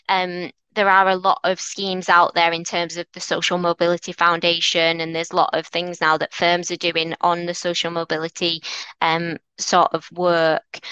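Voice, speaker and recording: Bright-voiced Mancunian woman. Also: lowish voice, clean tone, dry recording. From North Manchester, England. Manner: earnest, encouraging, many restarts. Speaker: lawyer, interview guest. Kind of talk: conversational interview answers.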